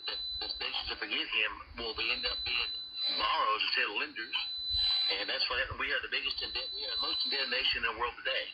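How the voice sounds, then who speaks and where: A man's voice: mid-range voice, level tone, fast, muffled recording. A man hosting a radio talk show with earnest, conversational political commentary, repeating phrases for emphasis, heard over shortwave radio. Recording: very noisy, crackling shortwave radio reception. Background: loud radio static and noise.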